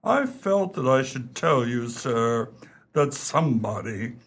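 A man playing an imperturbable English valet in a radio play, formal, deferential and deadpan. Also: mid-range voice, slow pace, exaggerated voice. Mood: solemn deference.

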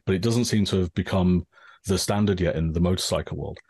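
A middle-aged man with an English accent, a guest calmly explaining gear with frequent fillers. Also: low voice, brisk, husky, dry recording. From northern England. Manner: relaxed, explanatory, with many fillers. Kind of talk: casual explaining.

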